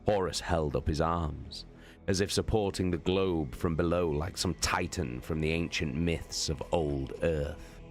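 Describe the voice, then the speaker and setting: A man reading science fiction prose aloud as dramatic narration, solemn and deliberate. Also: a bass voice, faint music behind. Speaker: audiobook-style reader, streamer.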